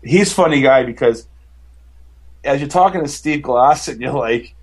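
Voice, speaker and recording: A man with a baritone voice, a musician chatting as a podcast guest, rambling enthusiastically with many fillers and restarts. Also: normal speed. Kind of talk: casual interview chat.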